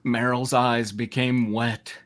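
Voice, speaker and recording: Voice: medium pitch, little pitch movement, slow pace, a bit bright. A person reading a story aloud to friends in a mock-dramatic way, breaking off into amused asides. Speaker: narrator reading fan fiction aloud. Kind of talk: comedic group read-aloud, often breaking into laughter.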